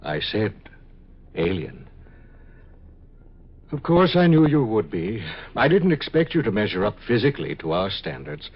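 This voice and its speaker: Husky, low-voiced future scientist. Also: male voice, sing-song, full character voice. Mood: detached, frank. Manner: measured, matter-of-fact, clinical. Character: calm detached male scientist of a far future. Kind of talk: 1950s radio drama acting. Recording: muffled 1950s radio recording.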